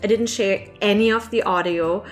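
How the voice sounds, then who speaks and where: A woman's voice: medium pitch, steady tone, normal speed, faint music behind. Chatty woman talking casually to camera. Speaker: online animator and comic creator hosting a Q&A.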